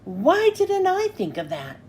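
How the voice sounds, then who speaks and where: A woman's voice: very high voice, wildly swooping pitch, unhurried, a little mellow, slight background noise, voice pushed up, heavily acted. A woman voicing a boastful, scheming tiny male villain who gloats grandly. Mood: envious.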